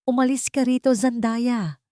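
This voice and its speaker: A woman's voice: a little high, steady tone, measured pace, dark tone, no room sound, studio quality, pitched above the performer's own voice, natural voice. A woman voicing a brooding, intense businessman son in a narrated drama story. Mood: cold threat. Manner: intense, threatening.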